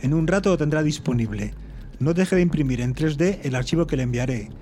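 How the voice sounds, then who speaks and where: Guy playing a flustered nerdy designer in a radio play, voice kinda high, bit of background noise. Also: a little fast, warm tone, natural voice. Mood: resigned. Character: flustered, sacked male tech designer turned adventurer. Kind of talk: Spanish audio drama acting.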